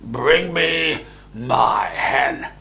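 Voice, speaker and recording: A man voicing a huge, greedy male giant who bellows slow, menacing chants in a storytelling. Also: medium pitch, gravelly, pushed and bright, full character voice. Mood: demanding.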